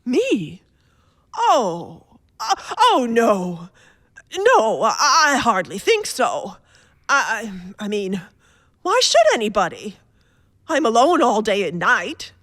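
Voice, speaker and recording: A nervous, bedridden wife in a radio thriller. She is fretful and demanding, drawing her words out theatrically in a slow, high voice. The sound is close and dry. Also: wildly swooping pitch, a bit bright, no room sound, exaggerated voice. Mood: flustered, fearful. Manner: fretful, imperious, theatrical, halting then insistent. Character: anxious, demanding bedridden wife, alone and frightened. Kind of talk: suspense radio play acting.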